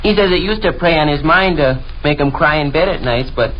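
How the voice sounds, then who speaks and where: Vintage radio comedy: earnest, gossipy American lad, played by a male actor, highish voice, muffled hissy archive sound. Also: brisk, close to an everyday voice. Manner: earnest storytelling. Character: Rush, chatty, earnest adolescent adopted son. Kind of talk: 1930s radio comedy acting. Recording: dull, noisy 1930s radio recording.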